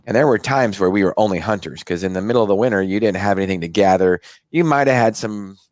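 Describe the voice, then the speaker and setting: An American man with a fairly low voice talks as the host of a health show. His delivery is conversational and explanatory, circling back over phrases as he goes. The sound is very dry, with no room echo. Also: quick pace. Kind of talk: conversational interview talk.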